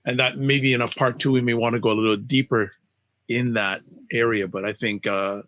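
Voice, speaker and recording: A man's voice: mid-range voice, fairly even intonation, moderate pace, a bit bright, no echo. A man co-hosting a podcast, speaking in a friendly way as he thanks the guest and sums up. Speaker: podcast co-host. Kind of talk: casual podcast chat.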